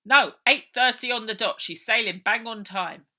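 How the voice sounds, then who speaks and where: A woman voicing a brisk, officious male dock gate guard in a storybook reading, matter-of-fact and politely final. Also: on the high side, bright, forceful tone, pitched above the performer's own voice.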